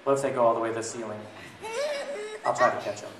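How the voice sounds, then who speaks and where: A man's voice: medium pitch, steady tone, fast, a crowd in the background. A man reading aloud and talking to a group of families in a theatrical, playful way, often putting questions to his listeners. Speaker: children's book reader and blogger. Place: large echoey indoor room at a school event. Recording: echoey noisy room, distant mic.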